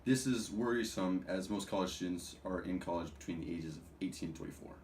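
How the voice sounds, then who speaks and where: A young man student quietly reading statistics for a class presentation. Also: low-pitched, brisk. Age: young adult. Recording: distant mic, loud background noise.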